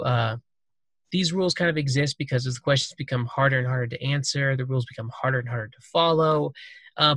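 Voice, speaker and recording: A man's voice: medium pitch, a bit bright, no room sound. A man hosting a casual conversational podcast, talking loosely with plenty of fillers and restarts. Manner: loose, rambling, many fillers. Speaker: podcast host.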